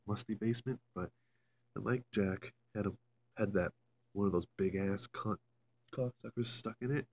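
A man's voice: lowish voice, moderate pace. A man chatting casually and rambling, with swearing, in a homemade vlog recorded on a phone in a carpeted room. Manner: foul-mouthed, rambling. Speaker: amateur video maker. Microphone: handheld phone camera. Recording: home phone recording with loud hum.

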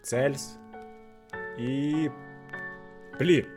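A man's voice: mid-range voice, steady tone, extremely slow. A man acting out a solemn, dramatic narrator and stern official in a visual novel. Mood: stern, commanding. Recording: music behind the voice.

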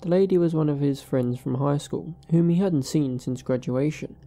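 Very soft, dark-toned female story narrator. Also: deep voice, normal speed. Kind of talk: scripted first-person narration.

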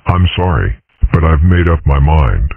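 A man's voice: rumbling low, expressive, a little slow, dry recording, exaggerated voice. A man voicing a pompous, eccentric dragon father in a comic strip dub, speaking with procedural formality. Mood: stately. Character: pompous, eccentric dragon father, self-styled head of state. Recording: muffled narrow radio-like sound.

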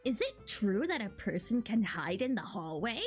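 A woman's voice: mid-range voice, very animated, normal speed. A woman streamer reading video game dialogue aloud with casual commentary, in a giggly manner that often breaks up her words. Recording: music behind the voice. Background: video game music.

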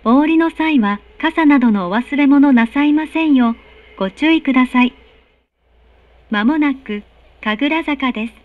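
A woman's voice: sits high, moderate pace, narrow, tinny audio. A woman reading formal, polite recorded train announcements with careful enunciation. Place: recorded announcement played inside subway car.

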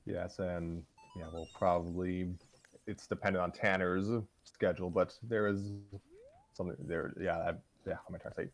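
A man co-hosting a show in casual, unscripted chat, talking in a halting, self-deprecating way. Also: low-pitched, little pitch movement, faint music behind. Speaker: co-host of a fan fiction reading show.

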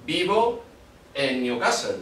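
A man in his thirties from Spain, teaching a language class with slow, clear instructions in a small classroom. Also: slight background noise. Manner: slow and deliberate, lively questioning pitch. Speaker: Spanish teacher. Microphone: far camera. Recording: echoey classroom from far off.

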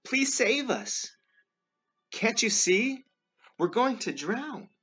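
A man's voice: extremely high pitch, big pitch swings, slow, fairly bright tone, slightly rough, pitched above the performer's own voice, exaggerated voice. A man voicing a frightened male disciple from a children's Bible storybook, pleading urgently to be saved. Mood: panicked pleading.

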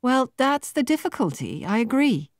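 A woman voicing a brisk, confident young female recruiter in an audiobook, chirpy, polished and patronising. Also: high-pitched, sing-song, no echo, clean studio sound, pitched above the performer's own voice. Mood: condescending.

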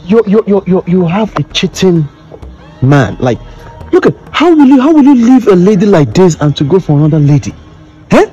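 A male actor plays an excitable young Nigerian man in an improvised skit. His voice sits a little high with a soft, mellow edge. He piles up repeated words when agitated. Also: a little slow, breathy edge, lo-fi sound, soft background music, heavily acted. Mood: indignant.

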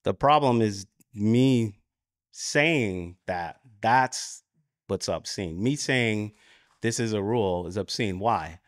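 American man, conference speaker, lowish pitch, dry close sound, informal explaining, halting phrasing. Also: no echo. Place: conference room at a lectern.

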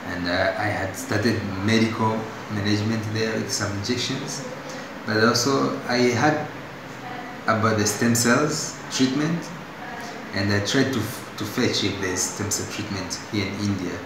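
Young Tanzanian man, lowish voice, unscripted patient testimonial, hesitant, recorded on a phone in a very echoey hospital room with noise behind. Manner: halting, with restarts and fillers.

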